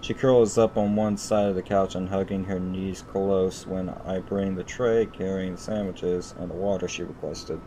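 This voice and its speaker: A man hosting a gaming video, reading visual novel narration aloud haltingly and repeating phrases. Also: low voice, fairly even intonation, noisy background.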